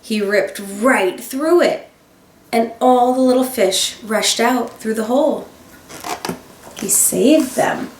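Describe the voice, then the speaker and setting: A woman teacher reading a children's picture book aloud, expressive, with gasps and dramatic emphasis. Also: on the high side, slow, slight background noise. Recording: roomy sound, voice a bit far from the mic.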